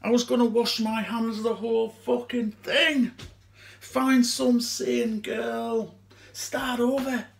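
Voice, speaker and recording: A young man acting a dramatic stage monologue as a distraught, haunted man, speaking haltingly and emotionally with long pauses. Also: very high-pitched, steady tone, vocal fry, slight background noise, exaggerated voice. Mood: bitter, fearful. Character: distraught man haunted by his girlfriend's suicide. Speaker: amateur actor.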